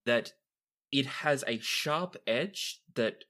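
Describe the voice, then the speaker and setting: A woman's voice: rumbling low, lively intonation, very slow, a bit bright. A woman improvising roleplay banter and narration, exclaiming in disbelief and dropping in explanatory asides. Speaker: tabletop roleplayer on a podcast.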